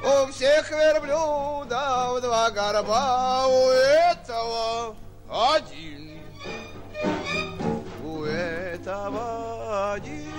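An actor playing a humble, flattering old camel seller, singing his sales patter in a 1940s radio musical comedy. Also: very high voice, drawn-out words, over loud music, full character voice. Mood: wheedling, singing. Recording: vintage 1940s radio recording. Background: musical accompaniment.

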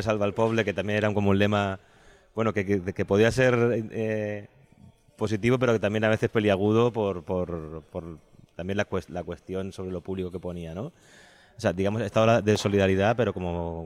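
Male radio interviewer, Spain accent, very low register, hesitant and repetitive while framing questions. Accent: Spanish from Spain. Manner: halting, searching for words, repeating himself. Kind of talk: conversational interview.

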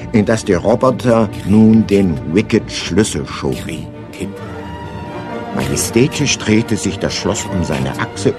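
A male narrator reading a scripted radio play. His voice is on the low side and moves expressively up and down, with faint music behind it.

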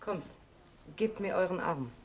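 An actress playing a mature, grief-stricken noblewoman with a weak, faltering, breathy voice in a German radio drama. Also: fairly low pitch, expressive, a little slow, background noise. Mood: shocked, faltering.

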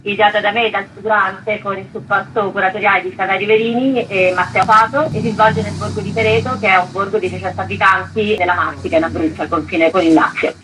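A woman's voice: medium pitch, fairly bright tone. A woman explaining her work as an exhibition founder in a radio interview. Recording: clearly noisy ambient background.

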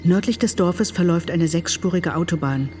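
A woman's voice: fairly low pitch, moderate pace, darkish tone. Woman narrator over music, clipped scripted delivery. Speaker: narrator of an audio play. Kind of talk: scripted narration, reading screenplay scene directions.

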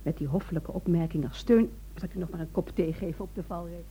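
An actress playing a worried, loving wife, tender and probing, in a radio play. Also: female voice, fairly low pitch, fast talker, warm tone, breathy edge. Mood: tender, concerned. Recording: dull, lo-fi old radio recording.

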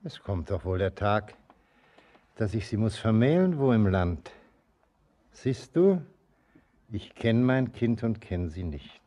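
A man's voice: lowish voice, big pitch swings, slow, pauses often, dark tone, highs cut off, exaggerated voice. An actor playing a brooding, sentimental ageing father and mine master in a German radio play, declamatory and lyrical. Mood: brooding, tender.

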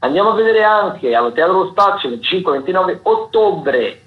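A man hosting a radio programme, reading out listings informally in a halting, digressive way and often correcting himself. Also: high voice, expressive. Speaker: radio host presenting theatre listings. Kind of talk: informal spoken radio listings of upcoming shows. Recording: roomy, slightly dull radio broadcast sound.

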